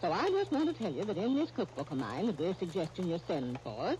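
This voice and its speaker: A woman's voice: lowish voice, very animated, fairly quick, rough recording. A woman playing a kindly small-town aunt with an American accent in folksy 1930s radio style. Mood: kindly, pleased. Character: kindly, homespun small-town aunt who tells stories and shares recipes. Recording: hissy 1939 radio broadcast disc.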